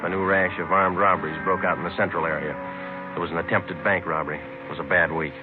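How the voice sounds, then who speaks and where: Brisk, low-voiced American police detective played by an actor. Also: male voice, level tone, natural voice. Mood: matter-of-fact. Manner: clipped, matter-of-fact, understated. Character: earnest, weary male police detective sergeant narrating his case. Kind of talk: 1950s radio police drama, terse scripted narration. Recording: lo-fi old radio recording with music.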